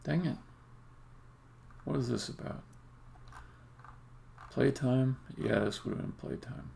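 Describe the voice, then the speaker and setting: A man's voice: medium pitch, slow pace, gravelly, darkish tone. A man thinking aloud in casual live-coding commentary, muttering to himself as he works as a game developer on a livestream. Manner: muttering to himself while working.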